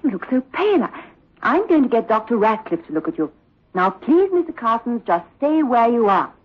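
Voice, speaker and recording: A woman playing a brisk, bossy, fussing nurse in a 1960s radio drama. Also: on the high side, very animated, breathy edge. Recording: muffled, noisy old-time radio recording.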